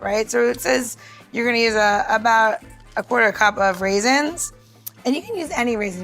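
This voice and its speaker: A woman's voice: mid-range voice, a bit bright. A woman hosting a podcast who chats bluntly and breezily, then walks listeners through a cocktail syrup recipe. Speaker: podcast host, cocktail presenter. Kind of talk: casual chat and recipe walkthrough.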